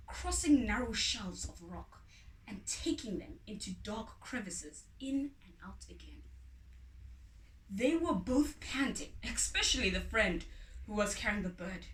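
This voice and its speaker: A woman storyteller reads a storybook with deliberate, dramatic phrasing. Her pace is very slow and her high voice rises and falls widely. The room echoes around her, away from the microphone. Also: animated, fairly bright tone. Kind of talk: expressive storybook reading. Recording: echoey room, distant from mic.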